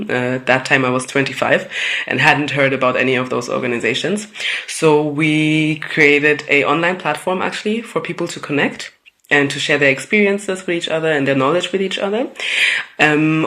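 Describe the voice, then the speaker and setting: A woman from Germany, answering interview questions in a thoughtful, measured way with many pauses. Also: low-pitched, a bit bright. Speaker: guest, founder of an organization.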